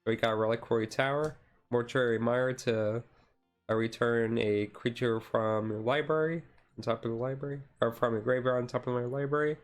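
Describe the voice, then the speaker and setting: Male streamer, casual and rambling hobbyist with a slightly tired voice, middle-pitched, over background music. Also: steady tone, normal speed. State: voice tiring. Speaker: streamer, card game hobbyist. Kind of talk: casual deck walkthrough, chill ASMR stream.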